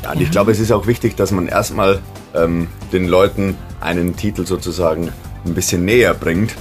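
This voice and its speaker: A man's voice: deep voice, steady tone, normal speed, faint music behind. A man, a band member, chatting cheerfully in an interview and laughing often while he tells stories. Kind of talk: casual chat.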